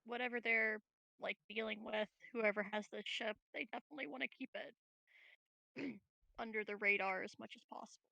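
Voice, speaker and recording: A woman's voice: fairly high pitch, steady tone, normal speed, gravelly, bright, forceful tone, no echo, poor audio. A woman chatting casually as a player in a tabletop game, hesitant and often rethinking mid-sentence. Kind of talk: casual chat.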